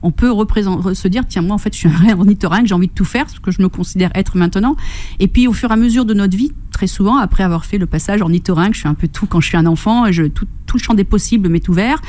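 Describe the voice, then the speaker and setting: A middle-aged woman from Corsica, a fitness coach speaking as a radio guest, enthusiastic and quick to laugh. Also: mid-range voice. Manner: enthusiastic, laughs often, animated storytelling with quoted voices. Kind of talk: radio interview. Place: radio studio.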